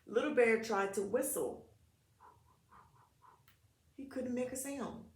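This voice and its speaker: A woman's voice: a little high, slow, darkish tone. A woman reading a picture book aloud to preschool children in short, simple phrases, talking to them directly. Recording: echoey room.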